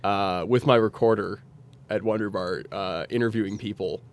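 A man's voice: on the low side, moderate pace. A young man telling stories casually as a podcast guest, wry and given to acting out little quotes. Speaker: podcast guest, segment creator. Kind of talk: casual storytelling.

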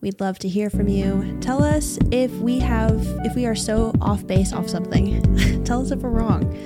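A woman's voice: a little high, moderate pace, over loud music. A woman co-hosting a casual podcast chat who asks lots of questions and laughs often. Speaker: podcast co-host.

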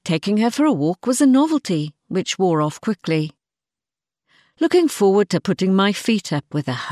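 A woman reading a scripted, diary-style short story in an expressive manner. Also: lowish voice, no room sound, clean studio sound. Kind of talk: scripted first-person story narration, diary-like.

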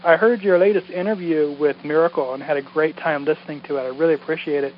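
A man's voice: on the high side, fairly even intonation, fast talker. A man who podcasts, leaving a casual, rambling message over a muffled phone line. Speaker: podcaster calling in. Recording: muffled phone voicemail, faint noise.

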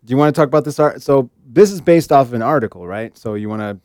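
A man's voice: medium pitch, expressive, fast, darkish tone, no room sound, clean studio sound. A middle-aged man co-hosting a casual podcast, with a wry, joking way of talking. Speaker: podcast co-host.

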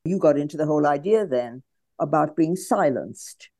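A woman's voice: low-pitched, lively intonation, moderate pace, dark tone, no room sound, studio quality. A woman interviewing for radio, asking reflective questions and searching for her words.

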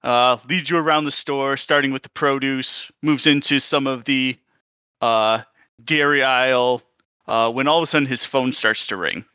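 A man's voice: medium pitch, steady tone, normal speed, sharp tone, smooth, dry recording, muffled recording. A man narrating as game master in a breezy, rambling way with fillers.

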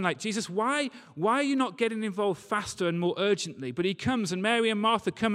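A man preaching a sermon, reading scripture and talking it through in a conversational, informal way. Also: high voice. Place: large reverberant hall, church service. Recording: long hall reverb.

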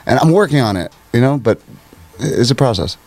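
Fast-talking nasal man. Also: medium pitch, animated, darkish tone, dry recording. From Canada. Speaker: podcast guest. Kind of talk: casual chat.

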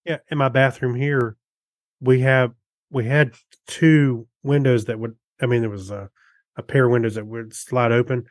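A man co-hosting a podcast, chatting casually and musing out loud in a conversational way. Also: mid-range voice, steady tone, moderate pace, dry recording, clean studio sound. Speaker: podcast co-host. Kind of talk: casual chat.

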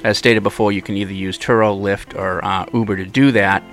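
A man hosts a casual podcast, talking in a chatty, rambling way. His voice sits a little low, and faint music plays behind him. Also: fairly even intonation, brisk, fairly bright tone. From Boston. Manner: chatty, rambling, acts out quoted people. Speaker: podcast host.

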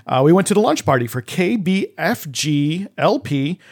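A man co-hosting a podcast, explaining things in a measured, conversational way. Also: on the high side, very animated, darkish tone. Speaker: podcast co-host.

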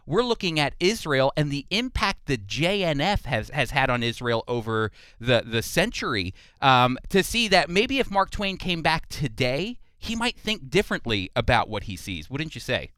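A man's voice: mid-range voice, sing-song, normal speed, hardly any pauses, bright, forceful tone, no echo. A man hosting a conversational radio interview, talking as an interviewer on a close studio microphone. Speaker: radio show host, interviewer.

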